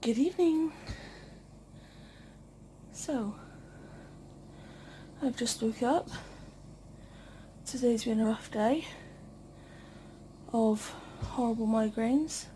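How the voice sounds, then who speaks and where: A woman hosting a casual vlog, chatting informally and haltingly to the camera. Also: on the high side, mellow, a little hiss or hum. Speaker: vlog host.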